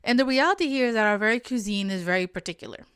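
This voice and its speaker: A woman's voice: a little high, animated, racing, fairly bright tone, smooth, dry recording, studio quality. A young woman from Portugal hosting a solo podcast, giving friendly, instructive advice and sometimes correcting herself mid-sentence. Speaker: podcast host.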